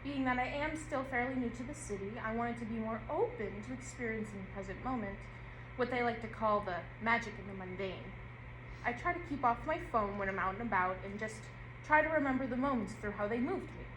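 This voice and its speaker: A woman actor reading an audio play script at rehearsal in a measured storytelling way. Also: a little high, close to an everyday voice. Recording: roomy and noisy rehearsal room.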